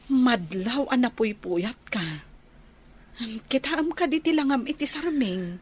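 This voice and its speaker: An actress playing a caring, generous elder sister in an Ilocano radio drama, with an Ilocano accent and a husky voice. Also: female voice, high voice, lively intonation, unhurried, full character voice. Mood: concerned.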